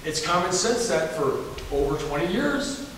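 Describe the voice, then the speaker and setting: A man's voice: sits high, sing-song, moderate pace, a bit bright, a little hiss or hum. A man with a Southern US accent, a candidate for governor answering at a forum in an emphatic, folksy, repetitive way. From Alabama. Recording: echoey hall from far off.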